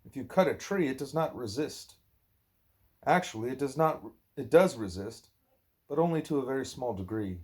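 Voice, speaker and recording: A man reading a spiritual dialogue aloud at home, speaking deliberately and sometimes correcting himself. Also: mid-range voice, expressive, darkish tone. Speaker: book reader, parent.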